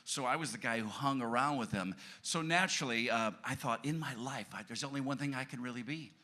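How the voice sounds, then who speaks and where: A man's voice: medium pitch, a little fast, bright, forceful tone. A man on a panel telling a self-mocking story about how he started in music, chuckling as he talks, into a handheld mic. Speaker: band member, lead singer. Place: cruise ship event room before an audience. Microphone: handheld microphone.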